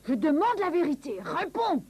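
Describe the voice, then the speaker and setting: A woman's voice: high-pitched, very animated, normal speed, a little mellow. A woman voicing a vain, jealous evil queen in a cartoon, imperious and threatening. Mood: menacing. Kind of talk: French cartoon dub.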